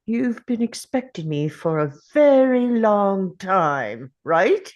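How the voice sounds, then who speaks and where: A woman playing an ancient, defiant, sardonic male time traveller in a dramatic script table read, speaking theatrically with deliberate pauses. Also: mid-range voice, big pitch swings, darkish tone, highs cut off. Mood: probing, defiant.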